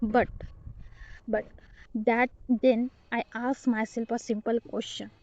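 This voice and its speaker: Earnest young woman, soft-toned. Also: on the high side, level tone, takes it slowly, muffled recording. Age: young adult. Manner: hesitant, earnest, with restarts and grammar slips. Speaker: vlogger learning English. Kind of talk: personal vlog monologue.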